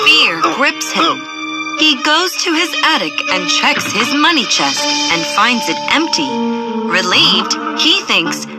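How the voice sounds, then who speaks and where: Lively woman narrating a fairy tale. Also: on the high side, poor audio. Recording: loud music under narration.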